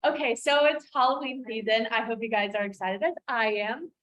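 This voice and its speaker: A young woman on a student club board, loud and bubbly, chatting casually at a club meeting. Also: on the high side, a little fast, highs cut off.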